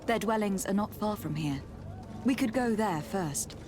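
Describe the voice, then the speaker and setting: An actress playing a courteous, earnest young noblewoman diplomat in a video game, with measured, formal speech. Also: mid-range voice, lively intonation, background noise, close to an everyday voice. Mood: calm. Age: young adult. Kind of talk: scripted video game acting.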